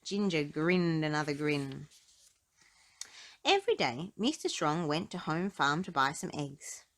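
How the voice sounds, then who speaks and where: A woman reading a children's story aloud in an expressive, dramatic storytelling style. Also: deep voice, unhurried. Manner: dramatic, animated delivery with emphasis. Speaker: storybook narrator.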